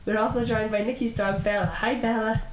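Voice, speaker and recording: A young woman hosting a podcast interview, friendly and quick to laugh. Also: fairly high pitch, fairly even intonation. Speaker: podcast host. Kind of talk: casual interview chat. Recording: roomy sound with faint hiss.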